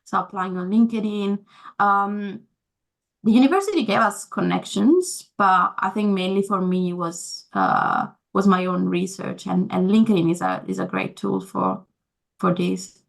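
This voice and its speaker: A woman's voice: mid-range voice, steady tone, measured pace, creaky. A young woman, not a native English speaker, answering interview questions casually, with many fillers and restarts. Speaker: guest.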